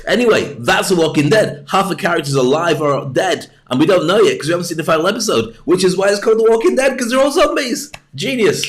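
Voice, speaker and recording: Chatty jokey British man, higher voice. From Britain. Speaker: livestream host. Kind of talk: rambling casual monologue.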